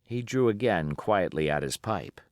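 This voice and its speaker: A man's voice: deep voice, animated, normal speed, no room sound, clean studio sound. A man reading an adventure novel aloud as scripted audiobook narration. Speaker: audiobook reader.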